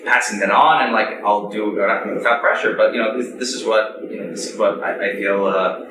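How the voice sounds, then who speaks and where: A man on a discussion panel, speaking casually and haltingly with many restarts and fillers. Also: lowish voice, steady tone. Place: large room with an audience. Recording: echoey room mic from far off, crowd. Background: crowd murmur.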